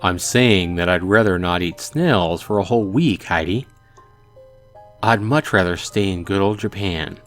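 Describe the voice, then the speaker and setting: A man reading a visual novel aloud, voicing a cheerful, teasing father in a relaxed, joking way. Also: low-pitched, a little slow, faint music behind. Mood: easygoing. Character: easygoing cheerful dad, teasing.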